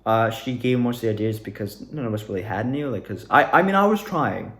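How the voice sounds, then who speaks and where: Young guy chatting to himself casually, keeps correcting himself, normal pitch, echoey room. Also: lively intonation, fast, a bit bright. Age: young adult. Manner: rambling, frequently backtracking and self-correcting. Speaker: drama student. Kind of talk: casual reflective monologue in self-interview.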